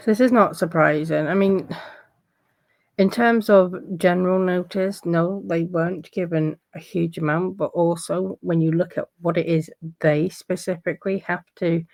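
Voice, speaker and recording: A woman with a croaky voice giving casual commentary on court filings in a loose, conversational way. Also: on the low side, steady tone, a little slow, a little mellow, no echo. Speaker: legal commentary vlogger.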